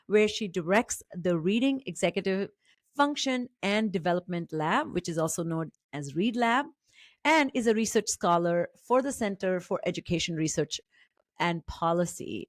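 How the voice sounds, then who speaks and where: A middle-aged woman from India with an Indian English accent, a native Marathi speaker, hosting an interview podcast in a measured way with many fillers and restarts. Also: mid-range voice, dry recording, clean studio sound. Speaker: podcast host.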